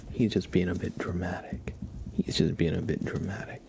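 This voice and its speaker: A man hosting an ASMR livestream, chatting casually and playfully in a soft voice that often slips into whispers. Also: sits low, little pitch movement, normal speed, vocal fry. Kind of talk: ASMR, casual chat to viewers and a pet cat.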